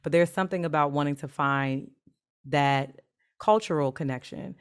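A woman's voice: deep voice, moderate pace, smooth. A woman hosting a podcast, interviewing her mentee and affirming her answers with frequent encouragement. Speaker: podcast host, mentor.

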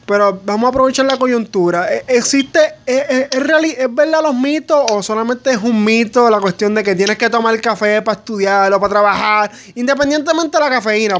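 A man's voice: high-pitched, moderate pace, few pauses, fairly bright tone. Casual man, slightly muffled. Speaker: interviewer, host. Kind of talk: casual interview conversation.

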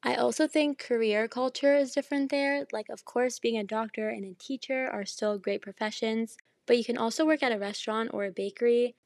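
A young woman hosting her own casual podcast, chatty and enthusiastic, jet-lagged and slightly hoarse as she loses her voice. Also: a little high, fairly even intonation, a little fast, hardly any pauses, no echo, clean studio sound. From Canada. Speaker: podcast host. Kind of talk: casual solo podcast monologue.

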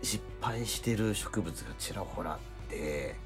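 Animated man rambling through a story. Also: deep voice, a little slow, music in the background. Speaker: radio show co-host. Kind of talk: casual radio chat. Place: radio studio.

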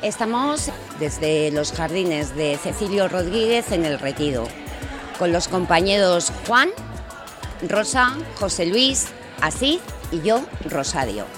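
A woman's voice: on the low side, animated, slow pace, over music. A woman hosting a live community radio show outdoors, announcing and introducing her guest in a friendly way. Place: outdoors in city park gardens.

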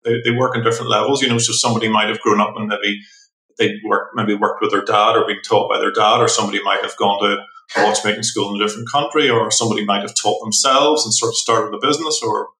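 Belfast guy, middle-aged, low flat voice, keeps restarting, sounds like he's in an echoey room. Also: fast. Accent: Northern Irish, Belfast. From Northern Ireland. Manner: rambling with frequent restarts, trails off softly. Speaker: watchmaker guest. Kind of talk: casual interview storytelling.

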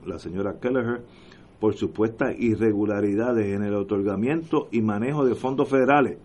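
A man's voice: on the low side, darkish tone, breathy edge. A man with a Puerto Rican accent hosting a political talk show, speaking emphatically and passionately and repeating phrases for emphasis. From Puerto Rico. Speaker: radio talk show host. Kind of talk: political commentary.

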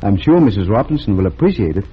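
A gentle small-town doctor with a low, husky voice, calm and reassuring with the young folks. The sound is dull and lo-fi, like an old radio broadcast. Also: male voice, quick pace, heavily acted. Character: kindly middle-aged male town doctor. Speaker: doctor character. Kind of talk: 1930s radio drama acting.

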